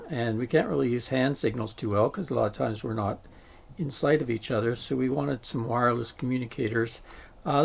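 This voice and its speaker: A man talks informally through a product review. His voice is heavily creaky and husky, at an ordinary male pitch. He sounds plain-spoken and casual, like a vlogger addressing viewers. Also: a little mellow. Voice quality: gravelly, husky.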